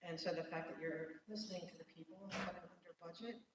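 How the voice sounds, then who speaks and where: A speaker giving a brisk, wry public comment at a city meeting. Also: mid-range voice, fairly even intonation. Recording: echoey distant hall recording with hiss.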